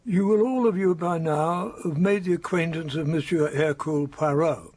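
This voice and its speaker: An actor playing a courteous, authoritative colonel in a radio drama, speaking formally and officially. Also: male voice, on the high side, moderate pace, darkish tone, no echo, lightly acted. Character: courteous, authoritative male colonel chairing an inquiry.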